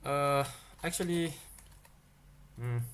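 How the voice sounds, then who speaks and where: A man's voice: a little high, slow, fairly bright tone, slight background noise. A man from Tunisia, teaching a live-streamed coding lesson. He thinks aloud and answers chat questions as they come in. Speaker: livestream tech teacher.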